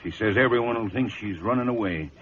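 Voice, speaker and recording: A man's voice: lowish voice, brisk, background noise, close to an everyday voice. A man playing an apologetic, fussing older army major in a radio western. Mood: weary, apologetic. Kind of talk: old-time radio western drama acting. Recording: muffled old radio broadcast recording.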